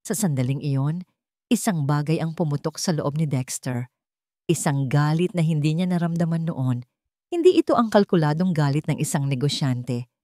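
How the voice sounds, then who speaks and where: Female narrator for dramatic romance fiction, low register, dry studio sound. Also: animated, normal speed, dark tone, no room sound.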